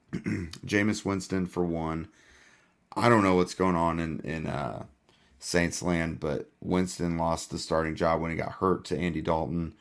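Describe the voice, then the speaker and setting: A man hosting a casual sports podcast, hoarse and losing his voice, with a laid-back delivery full of "uh" fillers. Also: low-pitched, little pitch movement, a little slow. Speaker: podcast host.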